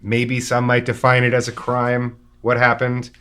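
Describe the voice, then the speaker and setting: A man from Pennsylvania Dutch country, a podcast guest telling a story in relaxed casual chat with many fillers. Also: mid-range voice, a little slow, clear, resonant tone.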